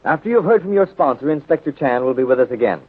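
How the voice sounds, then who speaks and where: Fast talking old time radio announcer guy, tinny with loud hiss and clicks. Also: medium pitch, lively intonation. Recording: noisy, clicking, tinny 1930s radio recording.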